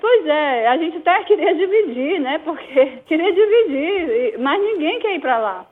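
A Brazilian-accented woman speaks over a thin, tinny phone line. Her voice sits high, and she answers questions hesitantly, with many false starts and fillers, as a town mayor. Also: animated, measured pace. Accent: Brazilian Portuguese.

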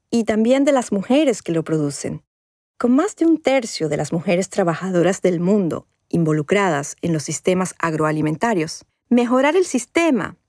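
A woman narrating a scripted documentary podcast as its host, with a measured, formal delivery. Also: medium pitch, darkish tone, dry recording, clean studio sound.

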